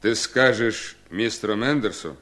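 An actor in a radio drama playing an authoritative master of the house, firm and commanding. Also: male voice, on the low side, unhurried, a bit bright. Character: Horace, authoritative ailing master of a Southern house. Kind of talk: Soviet radio drama acting.

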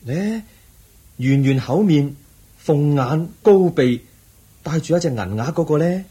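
A man's voice: fairly high pitch, lively intonation, measured pace, warm tone, slightly rough. A man with a Hong Kong Cantonese accent playing a brooding young prince disguised as a cook in a radio drama, earnest and plaintive.

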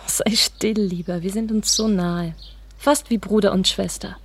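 An actress playing a tender, self-sacrificing young woman in love in a radio drama, earnest and halting. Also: mid-range voice, fairly even intonation. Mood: tender, wistful. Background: birdsong and music.

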